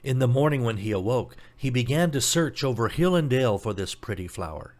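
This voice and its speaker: A man's voice: mid-range voice, lively intonation, a little fast, a little mellow. A man reading a scripted fairy tale as a storybook narrator, telling it expressively.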